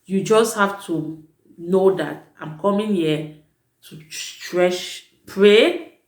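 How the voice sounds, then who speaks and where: A woman's voice: on the low side, drawn-out words. A woman who works as a teacher, giving casual advice in a vlog, chatty and emphatic, often correcting herself mid-sentence. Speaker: vlogger advising prospective students; teacher at an international school. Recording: echoey room.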